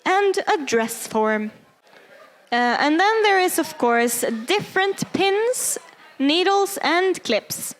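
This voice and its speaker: High-voiced woman, hesitant non-native speaker. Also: expressive, slow. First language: not English. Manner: hesitant, many fillers, searching for words. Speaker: cosplay workshop presenter. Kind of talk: casual workshop talk.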